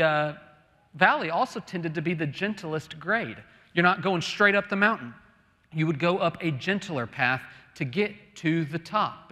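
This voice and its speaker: A man preaches in a forceful, slightly high voice, ringing through a large church. He speaks deliberately, leaning on key words and pausing after questions. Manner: emphatic, deliberate, with rhetorical questions and pauses. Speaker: pastor preaching. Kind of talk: sermon. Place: large church sanctuary, on stage. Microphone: lapel mic. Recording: long reverb of a large hall.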